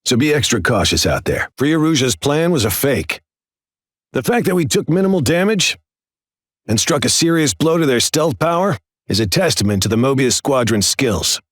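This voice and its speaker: An actor playing a stern, composed air force commander, giving a formal, measured mission briefing in a video game with an authoritative delivery. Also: male voice, mid-range voice, dry recording, studio quality. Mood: grave, resolute. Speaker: military briefing officer.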